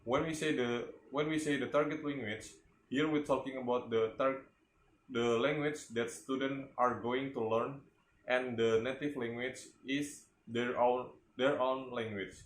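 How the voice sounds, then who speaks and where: A young Indonesian man with an Indonesian accent gives an instructional presentation as a student teacher, halting and often repeating words. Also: medium pitch, steady tone. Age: young adult. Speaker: English education student presenting a teaching video. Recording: echoey room, muffled, faint noise.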